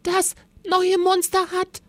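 A woman's voice: on the high side, takes it slowly, no echo, voice pushed up. A woman voicing a plucky young girl in a children's story, exclaiming and pleading, frightened yet defiant. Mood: terrified, shouting. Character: plucky young girl, high-voiced, easily irritated, frightened but defiant.

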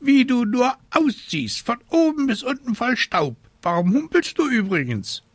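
A man voicing a fussy, scolding talking raven in a croaky, indignant storybook performance. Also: very high-pitched, sing-song, measured pace, dry recording, pitched above the performer's own voice, exaggerated voice. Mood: scolding, alarmed. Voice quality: croaky, squawking. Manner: indignant, nagging.

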